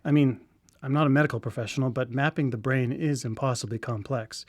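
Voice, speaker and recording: A skeptical scientist in a radio play, a woman with a very deep voice. She is friendly, wry and questioning, and is recorded dry and close. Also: fairly even intonation, brisk, darkish tone, no echo. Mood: skeptical, musing. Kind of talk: live radio drama acting.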